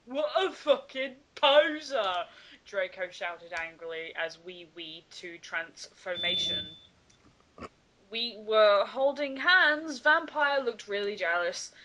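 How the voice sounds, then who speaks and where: A woman's voice: mid-range voice, lively intonation, takes it slowly, sharp tone, slight background noise, low-quality recording. A woman doing a dramatic read-aloud and bantering among friends in a game. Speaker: player in a dramatic reading game.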